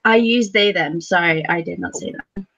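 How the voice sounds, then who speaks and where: A woman giving a casual, halting introduction as a panelist, heard through dull lo-fi call audio. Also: lowish voice, a bit bright, no room sound. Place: remote video call.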